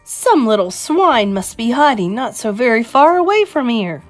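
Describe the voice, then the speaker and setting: An excitable witch underling from a children's storybook, voiced by a woman. She exclaims shrilly and is easily disgusted. The pitch is raised high and swoops up and down. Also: moderate pace, soft background music.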